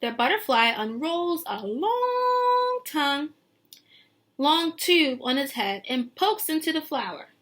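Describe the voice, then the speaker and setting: A woman teacher reading a picture book aloud to young children, expressive and sing-song, pausing to put questions to them. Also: high-pitched. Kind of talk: read-aloud lesson with questions to young listeners.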